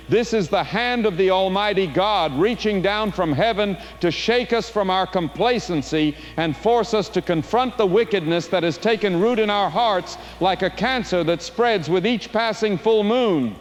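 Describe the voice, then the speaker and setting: High-pitched stern doomsday preacher. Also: male voice. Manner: solemn, rhetorical, exhorting. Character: stern apocalyptic small-town male preacher. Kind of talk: fire-and-brimstone sermon in audio drama. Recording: faint background noise.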